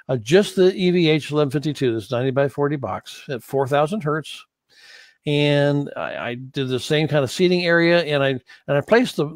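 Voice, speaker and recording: A man giving an unscripted, explanatory lesson as an audio instructor, speaking haltingly with frequent pauses. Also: on the high side, no echo.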